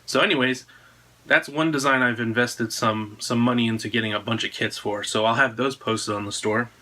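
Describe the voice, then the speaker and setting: A man's voice: medium pitch, fairly even intonation, normal speed, bright, forceful tone. An American man in his thirties talking casually to camera, presenting a product announcement in an indoor room at home. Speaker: drone frame designer presenting own video.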